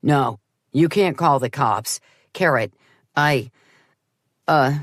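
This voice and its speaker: A woman's voice: rumbling low, takes it slowly, no echo, full character voice. A woman voicing a droll, easily rattled male friend in a horror audiobook, with a plaintive, dry manner. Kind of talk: audiobook character dialogue.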